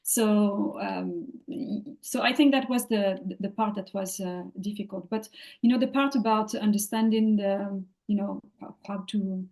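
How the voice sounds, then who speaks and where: Woman, warm mellow tone; halting, reflective interview answers. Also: mid-range voice, low-quality recording. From France. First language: French. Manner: thoughtful, halting, many fillers and restarts. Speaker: podcast guest, leadership coach. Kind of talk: interview conversation.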